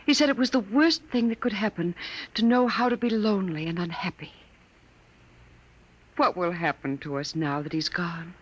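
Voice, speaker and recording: An actress playing an ageless android wife in a 1950s radio drama, soft-spoken, wistful and grieving with quiet dignity. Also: female voice, fairly low pitch, moderate pace, close to an everyday voice. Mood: quiet grief. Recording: vintage 1950s radio broadcast recording.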